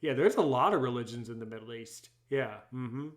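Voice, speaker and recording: A man with a middling-pitched voice talks as an interview host. He is emphatic, often saying a word or phrase two or three times over. The style is conversational, like a podcast. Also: brisk. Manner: emphatic, repeats words and phrases. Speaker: English as a second language teacher.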